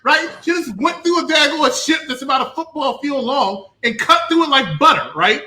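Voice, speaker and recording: A man hosting an animated review show, ranting with theatrical emphasis and repeating himself for effect. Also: very high-pitched, moderate pace, rough recording. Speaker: host.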